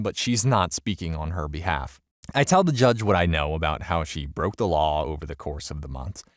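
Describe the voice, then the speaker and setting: A man reading a first-person online story aloud, telling it in an animated way. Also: dry recording, studio quality. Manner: animated storytelling with wide pitch swings. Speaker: story narrator.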